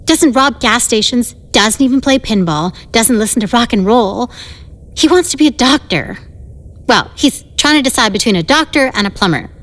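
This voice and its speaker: A woman acts out an insecure teenage girl boss from a 1950s audio drama. Her voice sits on the high side and carries a long reverb tail. She talks in a wistful, conversational way that readily turns defensive. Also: expressive, moderate pace, lightly acted.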